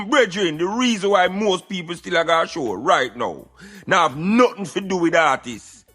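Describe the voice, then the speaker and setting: High, bright Jamaican man. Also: animated, moderate pace, breathy edge, faint music behind. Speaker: radio show commentator. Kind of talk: opinionated conversational commentary.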